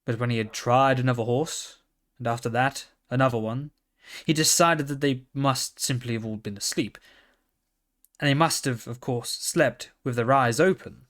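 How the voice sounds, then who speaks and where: A man's voice: medium pitch, normal speed, a bit bright. A man narrating a children's story from a script as a storybook reader.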